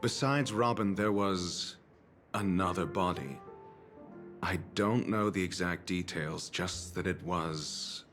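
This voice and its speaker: A man's voice: sits low, a bit bright. A man voicing a haughty, sardonic scholarly doctor in a video game, speaking in a pompous, formal manner. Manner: pompous, formal, deliberate phrasing. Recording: background music under dialogue. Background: music.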